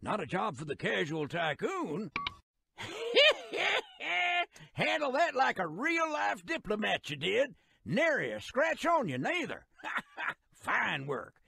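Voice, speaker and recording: Squeaky, creaky folksy American old-timer. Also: male voice, huge pitch swings, takes it slowly, sharp tone, full character voice. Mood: gleeful. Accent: rural American. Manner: folksy, exuberant, colloquial. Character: folksy excitable old-timer railroad museum guide. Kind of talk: theatrical video game narration.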